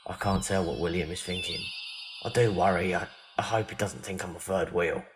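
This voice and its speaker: A man's voice: low-pitched, steady tone, brisk, close to an everyday voice. A man playing a friendly, plain-spoken pub landlord in an audio drama, informal and matey, with a worrier's streak. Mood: pensive. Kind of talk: audio drama acting with narrated reflections. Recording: voice over prominent background music.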